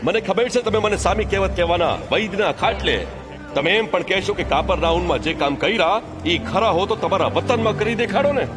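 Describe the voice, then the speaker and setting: A man with a Kathiawadi Gujarati accent playing a calm, authoritative religious teacher in a scripture audio drama, speaking in measured declarations. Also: high-pitched, close to an everyday voice. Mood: challenging. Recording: music under the voice.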